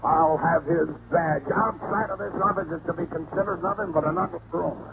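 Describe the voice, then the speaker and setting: An actor playing an exasperated middle-aged police lieutenant, gravelly, curt and sarcastic, in 1940s radio style. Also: male voice, on the high side, big pitch swings, a little fast. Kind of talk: 1940s radio drama acting. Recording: muffled, noisy old-time radio recording.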